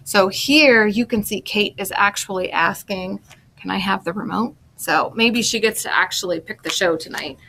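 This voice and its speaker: A woman's voice: mid-range voice, moderate pace, vocal fry, bright, forceful tone, a little hiss or hum, rough recording. A woman speech language pathologist explaining in simple, instructional words to young listeners, as in a picture book read-aloud.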